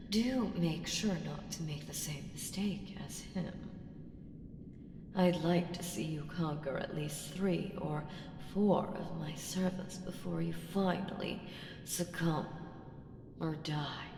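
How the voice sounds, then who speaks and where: An ancient evil female power, played by a woman, speaks in a cavernous, long reverb. Her voice is lowish and gravelly at the edges, haughty and teasing, sometimes sinking to a whisper. It is a coolly menacing audio roleplay patron who condescends with every deliberate phrase. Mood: amused menace. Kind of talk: slow ASMR audio roleplay monologue. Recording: echoing long hall reverb, ambient hiss.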